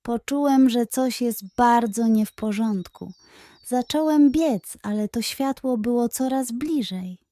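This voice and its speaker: A woman's voice: a little high, a little slow, warm tone, no room sound, close to an everyday voice. A woman voicing a frightened young male bunny in a children's story, speaking anxiously and urgently. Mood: fearful.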